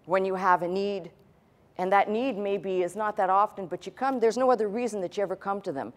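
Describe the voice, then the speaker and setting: A middle-aged woman preaching to a congregation in a blunt, emphatic, conversational way, like an unapologetic teacher. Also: medium pitch. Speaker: pastor. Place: church stage in a large indoor hall.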